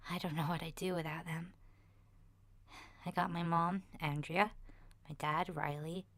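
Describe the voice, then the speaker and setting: A woman acts out an awkward young boy of ten, murmuring an ASMR survivor's diary. The voice is low-pitched for a female one, soft and breathy, recorded dry and close. The delivery is slow, shy and halting, rambling along with hesitations. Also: fairly even intonation, no room sound. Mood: hesitant, earnest. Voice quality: soft, breathy, often dropping to a whisper. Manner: hesitant, shy, rambling with sighs and self-corrections.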